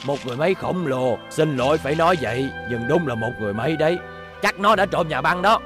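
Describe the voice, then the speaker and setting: A man voicing a calm, kindly old male scientist in a cartoon dub, low and reassuring. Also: fast, natural voice. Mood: grave. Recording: music behind voice.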